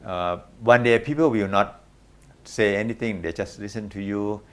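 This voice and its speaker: A man with a Thai accent giving measured advice as a coach in an interview. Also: fairly low pitch, fairly even intonation. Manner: measured, advisory, short repeated okays. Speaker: management coach guest. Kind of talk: interview answers.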